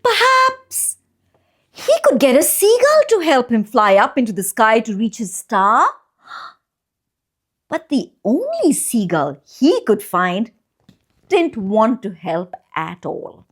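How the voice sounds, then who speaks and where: Slow, very animated woman storyteller. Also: fairly high pitch. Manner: expressive, deliberate phrasing with drawn-out pauses for young listeners. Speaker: children's storyteller and channel host. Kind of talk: read-aloud bedtime storytelling.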